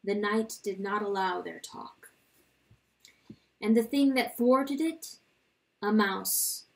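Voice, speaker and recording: A woman reading a literary story dramatically, in a solemn, deliberate narrating style. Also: medium pitch, gravelly, far from the mic, poor audio. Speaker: audiobook reader.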